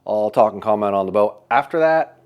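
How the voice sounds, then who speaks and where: A man's voice: lowish voice, animated, brisk, clear, resonant tone, lo-fi sound. A man reviewing a bow at a shop, casually talking through each measurement as he checks it. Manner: upbeat, talks through measurements as he works; says he has a loud voice. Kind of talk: casual hands-on product testing.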